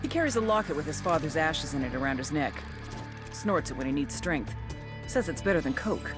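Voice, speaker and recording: A woman's voice: sits low, animated, brisk. An actress playing a tough, sardonic female intelligence agent, narrating a game briefing in a wry, foul-mouthed way over music. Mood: sardonic, storytelling. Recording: music behind the voice.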